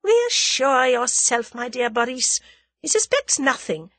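A woman narrator performs a worldly, haughty female schemer from a storybook. Her voice sits high and swings widely in pitch, airy and coolly amused. She taunts with scornful confidence in a dry, close recording. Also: very animated, measured pace, a bit bright, dry recording, exaggerated voice. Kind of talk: dramatised audiobook character dialogue.

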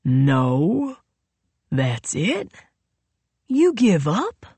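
A narrator voicing a gloating, cruel male villain in an audiobook thriller, with mocking, drawn-out taunts. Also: mid-range voice, warm tone, no room sound. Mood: taunting.

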